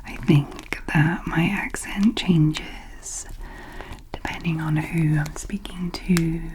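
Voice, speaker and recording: A woman with a light Scottish accent, whispering softly and hesitantly close to the microphones as an ASMR creator. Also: sits low, fairly even intonation, slow, rough recording. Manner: soft unvoiced whisper, rambling and hesitant. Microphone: close to sensitive mics.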